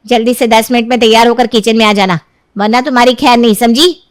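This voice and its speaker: A woman's voice: a little high, steady tone, dry recording, studio quality, close to an everyday voice. A woman playing a scolding mother-in-law. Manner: scolding, brisk. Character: younger mother-in-law, scolding yet soft-hearted.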